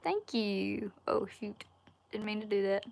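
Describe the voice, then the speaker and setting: A woman in her twenties with a Southern Alabama accent, chatting casually on a livestream and answering viewer questions in a relaxed, folksy way from a wood-paneled room. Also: medium pitch, a little slow, gravelly. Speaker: outdoors YouTuber answering live chat. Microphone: laptop or phone camera at arm's length.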